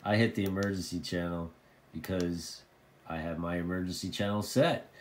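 A man's voice: low-pitched, moderate pace, a little hiss or hum. A man informally explaining and demonstrating a product, repeating phrases for emphasis. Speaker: presenter of a radio tutorial. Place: indoors at a desk.

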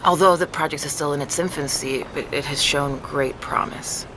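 A woman playing a measured, matter-of-fact neurologist who explains things in a naturalistic audio drama. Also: deep voice, fairly even intonation, noisy background, close to an everyday voice. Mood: explanatory.

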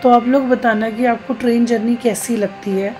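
Woman, thirties, higher-pitched voice, casual vlog narration, conversational, soft background music. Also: fairly even intonation, normal speed, poor audio. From Uttarakhand, India. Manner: chatty, conversational, addresses viewers directly. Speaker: travel vlogger.

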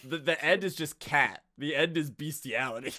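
A man's voice: fairly high pitch, moderate pace, pushed and bright. A man streaming a game with friends, joking crudely in casual chat.

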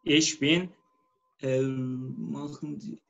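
A man's voice: medium pitch, steady tone, very slow, creaky, fairly bright tone. A man in his thirties with a Turkish accent, a student carefully practising a dialogue in a language class. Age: 30s. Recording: dull online call.

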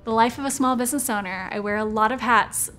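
A woman's voice: fairly high pitch, fast talker, a bit bright. A woman small business owner speaking in a conversational, earnest way, as in a documentary interview voice-over. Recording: music bed behind the voice. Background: background music.

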